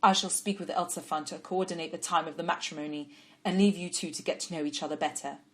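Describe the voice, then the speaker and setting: Woman playing a dignified older father, measured and kind, low voice. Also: steady tone, fairly bright tone. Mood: pleased.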